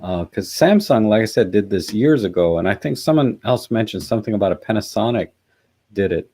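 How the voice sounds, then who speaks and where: A man hosting a casual live chat, musing and halting, with a hoarse, scratchy voice. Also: lowish voice, animated, mellow. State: hoarse after a night out. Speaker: livestream host. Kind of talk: casual live chat, reading out and answering comments.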